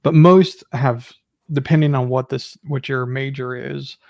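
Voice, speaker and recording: A man with a noticeably creaky voice explains things casually as a panelist. The recording is close and dry. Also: mid-range voice, steady tone, a little slow, a little mellow, dry recording.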